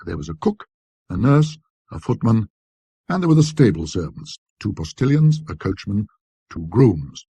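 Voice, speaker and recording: A man with a husky, raspy voice narrating a classic literary ghost story in a precise, scholarly, measured manner. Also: on the low side, big pitch swings, mellow, no room sound.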